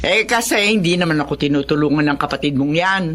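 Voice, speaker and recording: A woman's voice: low-pitched, quick pace, fairly bright tone. A woman playing an exasperated, nagging mother in a radio drama, complaining emphatically with a Filipino accent.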